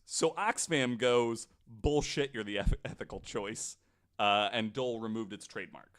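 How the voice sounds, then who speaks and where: A man presenting a researched story on a podcast in a casual, halting way, with informal asides. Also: mid-range voice, a bit bright. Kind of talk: casual explanatory podcast talk.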